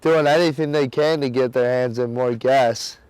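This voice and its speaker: A young man talks casually in an interview. His voice is smooth and very clear, at a middling pitch. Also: steady tone, moderate pace, a little mellow, no room sound, low-quality recording. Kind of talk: casual conversational account.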